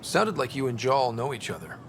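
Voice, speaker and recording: An actor playing a young, earnest expedition leader in a sci-fi video game, talking in a casual way. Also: male voice, mid-range voice, sing-song, a little fast, a bit bright, slight background noise. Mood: curious. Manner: casual, conversational. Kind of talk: naturalistic game dialogue.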